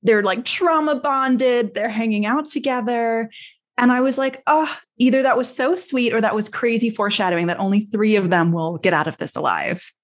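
A woman's voice: fairly high pitch, moderate pace. A woman chatting casually as a podcast panelist discussing a TV series. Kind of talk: casual chat about a TV series.

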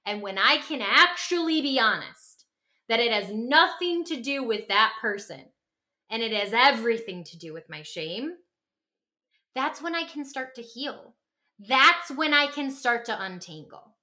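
A woman's voice: a little high, animated, a little slow, sharp tone. A woman co-hosting a self-help podcast, talking reflectively in an earnest, emphatic way and posing rhetorical questions. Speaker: podcast co-host.

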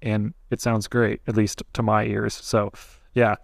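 Nasal man with a gravelly edge. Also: lowish voice, flat delivery, a little slow, no echo, clean studio sound. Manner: chatty, loose, many "like" fillers. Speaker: podcast host. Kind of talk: casual podcast conversation. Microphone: close studio mic angled up.

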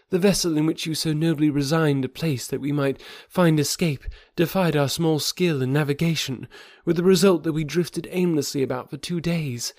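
A man's voice: on the high side, a little mellow, dry recording, studio quality, voice pushed up, close to an everyday voice. A man voicing a devoted young woman who speaks fervently in formal, old-fashioned phrasing.

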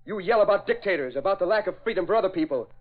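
Defiant young playboy son, highish voice. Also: male voice, expressive, very fast. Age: young adult. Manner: insistent, argumentative. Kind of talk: 1940s radio acting. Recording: dull vintage radio recording.

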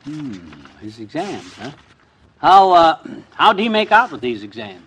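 An actor playing a boastful, scheming middle-aged husband in a vintage radio comedy, folksy and needling. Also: male voice, on the high side, sing-song, a little slow, fairly bright tone, breathy edge, full character voice. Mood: curious. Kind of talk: 1950s radio comedy acting.

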